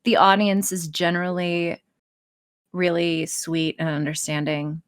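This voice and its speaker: A woman's voice: fairly low pitch, flat delivery, unhurried, clear, resonant tone. A woman audio role-play creator talking casually on a podcast, swearing freely and poking fun at herself. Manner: frequent swearing, self-deprecating.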